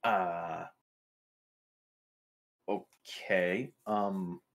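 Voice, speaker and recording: Slow, deep-voiced man questioning deliberately. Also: steady tone. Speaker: player. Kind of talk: in-character questioning in a tabletop game.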